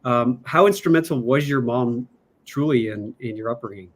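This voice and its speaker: A man's voice: medium pitch, moderate pace. A man hosting a casual interview, asking long, loosely worded questions with fillers. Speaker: podcast host.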